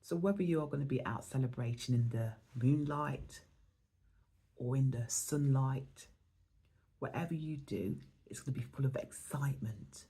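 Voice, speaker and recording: A woman from England, hoarse and losing her voice, talking in a reflective, rambling way as she gives an oracle card reading in a spiritual vlog. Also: extremely low pitch, normal speed, dark tone.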